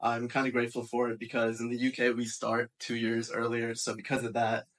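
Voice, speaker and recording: A man's voice: medium pitch, completely flat delivery, brisk, a bit bright. A man in his twenties with an American accent, telling his story casually as a panel guest. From raised in England and Texas. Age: 20s.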